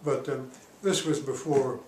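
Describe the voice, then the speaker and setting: An elderly man in his eighties talks about his past as a diplomat in a calm, halting way, stopping often to search for words. His voice sits at an ordinary pitch for a man. He is recorded from some way off in a reverberant room with a faint hiss behind him. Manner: halting, many pauses and fillers. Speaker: retired diplomat interviewee. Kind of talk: oral history interview. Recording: roomy, distant mic, faint noise.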